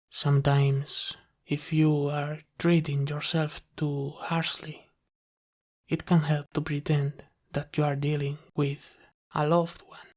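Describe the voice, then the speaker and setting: A man playing a gentle, caring sleep paralysis demon who soothes his human in a soft-spoken, reassuring ASMR roleplay. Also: on the high side, vocal fry.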